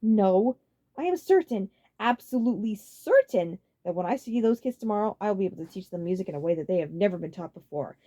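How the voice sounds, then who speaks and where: A woman's voice: medium pitch, lively intonation, fairly quick, warm tone, pitched above the performer's own voice, natural voice. Woman playing a cocky, breezy young man. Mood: smug, boastful. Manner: boastful, breezy. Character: cocky, smug young man, dim about music.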